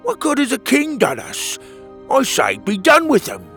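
A man's voice: extremely high pitch, huge pitch swings, measured pace, a bit bright, faint music behind, pitched above the performer's own voice, heavily acted. A man voicing a bold, plainspoken platypus hero in a children's storybook. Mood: defiant.

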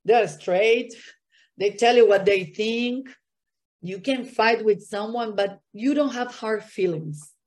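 A woman's voice: on the high side, slow pace, a little mellow. A woman from Argentina, a Spanish native speaker, talking in an interview in earnest, non-native English with an Argentine accent. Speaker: guest. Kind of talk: podcast interview.

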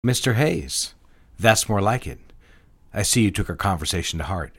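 A domineering office boss in a radio play. His voice is very low, and he speaks in curt, clipped commands. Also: expressive, moderate pace, a bit bright. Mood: stern.

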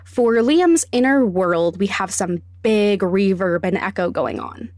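A woman hosting a podcast, giving scripted instructional narration with deliberate, emphatic step-by-step phrasing. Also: medium pitch, expressive, a little mellow, dry recording, studio quality. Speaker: podcast host, sound designer.

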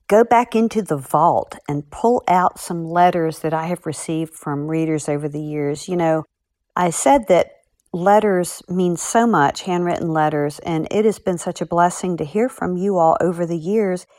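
A woman's voice: lowish voice, a little slow, a little mellow, no echo, clean studio sound. A woman speaking as an author in a podcast segment, chatting and reading out letters.

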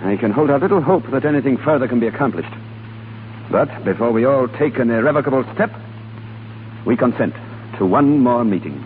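Actor as a brooding Irish rebel leader, tinny old radio. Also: male voice, medium pitch, expressive, fairly quick, noisy background, close to an everyday voice. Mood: firm, grave. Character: Irish rebel leader, brooding and torn between love and oath. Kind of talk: 1930s radio acting. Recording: tinny 1930s radio recording with music behind.

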